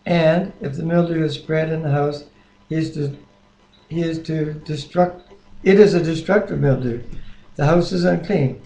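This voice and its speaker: Gravelly, mellow man reading aloud. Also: a little high, moderate pace. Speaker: Bible reader. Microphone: webcam microphone. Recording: echoey room webcam audio.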